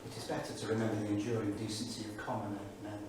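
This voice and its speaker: An actor playing a brooding English intellectual of the 1930s in a staged reading, speaking in a grave, rhetorical manner. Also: male voice, lowish voice, little pitch movement, fast talker, close to an everyday voice. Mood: solemn. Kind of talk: staged reading of a dramatic monologue. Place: small theatre studio. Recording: distant, echoey theatre recording with noise.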